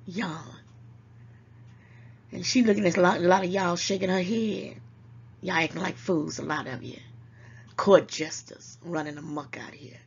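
A woman's voice: on the low side, creaky, fairly bright tone, husky, muffled recording. An older woman hosting an online talk show, scolding and preaching to her viewers and calling them baby, at times a little congested. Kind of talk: casual commentary to viewers.

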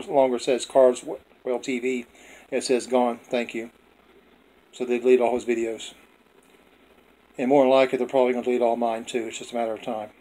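Flat-toned man, folksy home vlogger. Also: mid-range voice, low-quality recording. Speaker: host of a home cooking video. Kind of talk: casual vlog narration.

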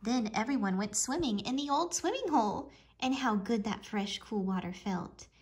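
A woman narrates a picture book for young children. Her voice is fairly high, and she tells the story expressively and deliberately. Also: expressive. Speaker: storybook reader. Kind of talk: children's read-aloud narration.

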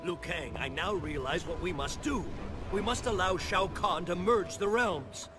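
An actor playing a solemn thunder god, desperate to protect Earth, in a formal, declamatory video game style. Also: male voice, high voice, unhurried. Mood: urgent, commanding. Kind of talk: dramatic video game cutscene acting. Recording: game cutscene with music underneath.

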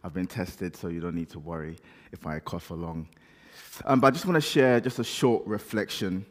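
A man's voice: sits low, normal speed. A man with a slight sore throat and cough, preaching a conversational reflection as pastor in a large church. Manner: casual, conversational preaching with fillers. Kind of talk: sermon reflection to a congregation. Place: large church hall, indoors. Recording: long church reverb.

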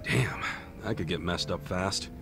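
A man's voice: low voice, a little slow, creaky, music in the background. A man voicing a blunt, earnest, streetwise middle-aged underdog in a video game, slangy and frank. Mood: casual, frank. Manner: blunt, slangy, incredulous questioning. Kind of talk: video game cutscene dialogue acting.

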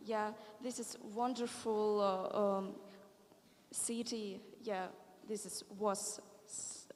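A young woman from Ukraine with a Ukrainian accent, speaking hesitant, halting English on stage with a handheld mic. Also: medium pitch, steady tone, a little mellow, a little hiss or hum. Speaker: guest singer. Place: large concert hall on stage. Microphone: handheld microphone. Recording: long hall reverb.

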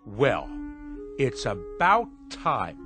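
A man's voice: medium pitch, huge pitch swings, drawn-out words, pushed and bright, faint music behind, full character voice. An actor playing a bossy, superior male diner customer with a curt manner in a radio drama. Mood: impatient.

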